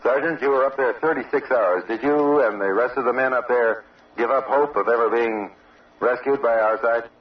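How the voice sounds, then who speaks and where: A man's voice: mid-range voice, moderate pace, slight background noise. Male field reporter, muffled and distant. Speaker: radio reporter, interviewer. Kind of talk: on-location news interview. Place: outdoor observation post. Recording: dull, distant old radio field recording.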